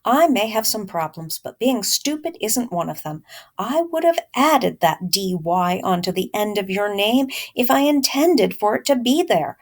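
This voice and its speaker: A woman's voice: medium pitch, lively intonation, a little slow. Lady reading as a storybook mom, firm but loving, insistent, setting her little boy straight. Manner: emphatic, insistent. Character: firm, proud, loving mother lecturing her young son.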